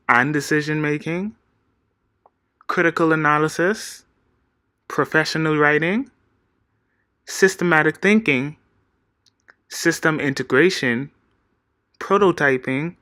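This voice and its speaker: A man reads a prepared self-introduction at an earnest, even pace. His voice is bright and forward, and the recording is dry and close with no room sound. He works through long lists steadily. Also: mid-range voice, dry recording. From Bahamas. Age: 20s. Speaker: game designer pitching himself. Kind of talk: read-aloud scripted presentation.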